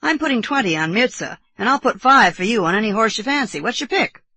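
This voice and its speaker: A woman narrator acts out a jovial English uncle from a classic story. He is amused and teasing, exclaiming in big pitch swoops. The voice has a husky grain and a dry sound. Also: animated, a little fast, sharp tone, dry recording, exaggerated voice. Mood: hearty, brisk.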